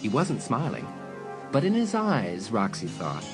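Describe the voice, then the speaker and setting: A man narrating a suspense audiobook in a measured, dramatic storytelling manner. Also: lowish voice, wildly swooping pitch, over music.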